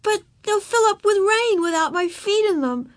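A very high, small voice of a kindergarten girl, whiny and stubborn. She wails her words with tearful earnestness, as a character in a children's storybook. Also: lively intonation, moderate pace. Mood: whiny protest. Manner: whiny, wailing delivery.

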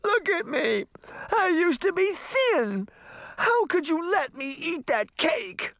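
A man's voice: very high-pitched, sing-song, slow, sharp tone, dry recording, voice pushed up, exaggerated voice. A man voicing a spoiled, gluttonous mermaid princess from a storybook, imperious and whiny, dragging out demands. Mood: wailing self-pity.

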